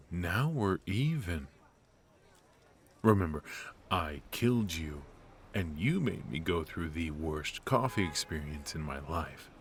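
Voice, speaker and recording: A man playing a suave, teasing, flirtatious mafia boss in an intimate ASMR roleplay, murmuring asides with hums and pauses. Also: low voice, exaggerated voice. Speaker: voice actor in an audio roleplay. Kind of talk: ASMR roleplay acting, intimate one-sided conversation.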